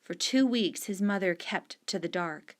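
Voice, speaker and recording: A woman reading a short story aloud in a steady, unhurried storytelling manner. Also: mid-range voice. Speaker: story reader.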